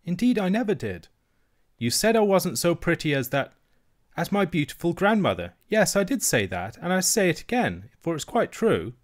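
A man's voice: sing-song, moderate pace. A man voicing a young princess girl, earnest and proud, in a raised childlike voice. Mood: indignant. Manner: high, earnest childlike pleading.

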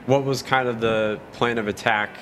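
A man's voice: medium pitch, steady tone, moderate pace, background noise. A man who hosts a college sports broadcast, interviewing a coach, reading sponsors and wrapping up the game in an upbeat style.

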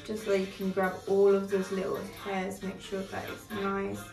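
A woman's voice: mid-range voice, completely flat delivery, normal speed, far from the mic. A young woman chatting through a hair tutorial on her toddler, explaining each step and correcting herself as she goes. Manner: chatty, instructive, self-correcting. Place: living room with Christmas decor. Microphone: far camera. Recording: echoey room audio with background music.

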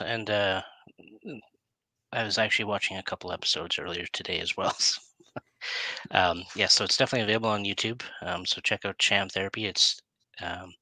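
A middle-aged man hosting a casual interview over a headset, with halting, hesitant phrasing. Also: lowish voice, sharp tone, dry recording, rough recording. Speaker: interview host. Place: indoor room. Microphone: headset on a video call.

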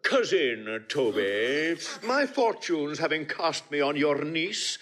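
Self-important steward, theatrical, highish male voice, very varied melody, classical stage drama. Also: sing-song, slow, fairly bright tone, full character voice. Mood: haughty, imperious. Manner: pompous, theatrical, lingering over words. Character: pompous, self-besotted household steward daydreaming of grandeur. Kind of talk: classical Shakespeare audio drama acting.

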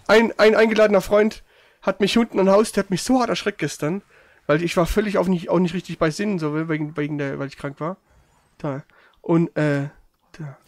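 A man's voice: high-pitched, fairly even intonation, brisk, no room sound. A man speaking colloquial, slangy speech, casually chatting and commenting live as he plays a game, swearing freely. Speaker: gaming streamer playing and chatting.

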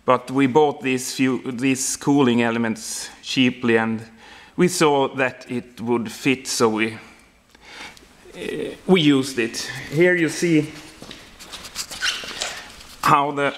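A man in his thirties with a Swedish accent, giving an informal walkaround explanation in halting, non-native English as he searches for words. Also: mid-range voice. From Sweden. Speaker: home builder presenting his project. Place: indoor workshop garage.

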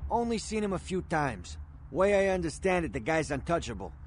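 A man's voice: on the high side, very animated, brisk, slight background noise. A man voicing a street-wise, world-weary American underworld fixer, terse and matter-of-fact. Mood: matter-of-fact, guarded.

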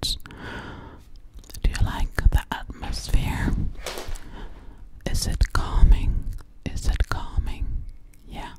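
A woman's voice: very slow, long pauses. A young woman playing a sweet, slightly sassy alien girl in a soothing ASMR roleplay, whispering breathily close to the microphone and repeating her words. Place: small dim living room, indoors. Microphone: close studio microphone with foam cover, binaural.

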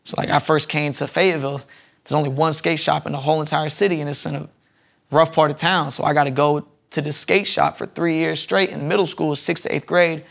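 Young male guest, slightly high, gravelly voice, rambling storyteller, lapel mic in a studio lounge. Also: fairly even intonation, brisk, fairly bright tone. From Southern United States. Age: young adult. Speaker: podcast guest. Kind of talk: casual interview chat.

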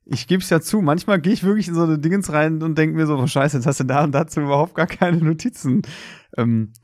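A man co-hosting a podcast, chatting casually in a rambling, halting way with repeated words. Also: fairly high pitch, darkish tone, dry recording, clean studio sound. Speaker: podcast co-host. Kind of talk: casual chat.

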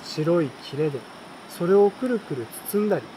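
A young adult man reading a bedtime story aloud in a relaxed, unhurried way, with pauses between short phrases. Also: a little high, noisy background. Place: outdoors at night beside a campfire. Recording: campfire, insects and stream behind voice.

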